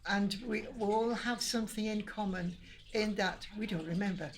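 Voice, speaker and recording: An older woman from Manchester speaks with a Lancashire accent at an everyday middle pitch. She reminisces in a chatty, meandering way, often restarting her sentences. A faint background hiss sits under her voice. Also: moderate pace. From Manchester, England. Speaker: interviewee. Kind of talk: casual interview chat.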